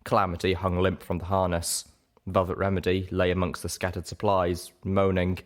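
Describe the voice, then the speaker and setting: A man's voice: deep voice, completely flat delivery, normal speed. A man reading scripted story narration as an audiobook reader.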